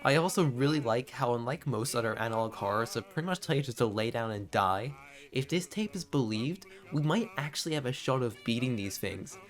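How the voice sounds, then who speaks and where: A man narrating a scripted video essay in an expressive, informal and confiding way, with casual asides. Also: mid-range voice, a little fast.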